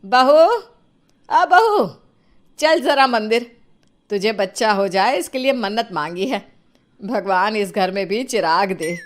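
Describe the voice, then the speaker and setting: A woman's voice: medium pitch, lively intonation, slow, long pauses. A woman voicing an exasperated older mother-in-law in a family storybook tale, bossy, nagging and sarcastic. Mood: coaxing.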